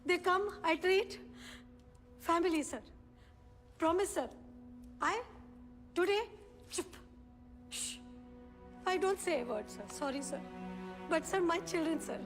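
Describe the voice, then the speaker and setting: A woman's voice: sits high, fairly even intonation, slow pace, pauses often, soft background music. An actress playing a desperate mother who pleads in broken English in a courtroom, repeating herself. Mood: desperate pleading. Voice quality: broken English, repetitive sobbing pleas. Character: desperate Indian mother pleading for her children. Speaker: defendant mother. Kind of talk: film courtroom drama. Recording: echoey courtroom hall.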